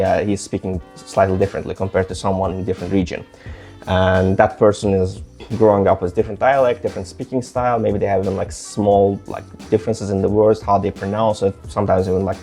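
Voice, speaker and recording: A young man from Azerbaijan speaks English with a mostly American, slightly British accent. His voice sits fairly low and sounds warm and dark. He rambles through his advice with frequent "like" fillers, and soft music plays faintly underneath. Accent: mostly American with some British. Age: young adult. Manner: rambling, many "like" fillers and restarts. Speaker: language-learning vlogger. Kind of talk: casual advice talk to camera.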